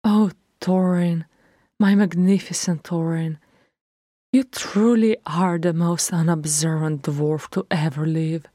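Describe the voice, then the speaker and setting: A loving, firm dwarf mother of a grown son in a fantasy audiobook, acted by a woman. She speaks in a low, measured voice with stately authority and affection. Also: dark tone, dry recording, clean studio sound. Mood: fond, earnest.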